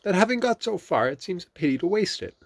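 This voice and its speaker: A man voicing a fussy, chatty male rabbit from a storybook, talking in a high, prim, busy way. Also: very animated, fast talker, dry recording, heavily acted.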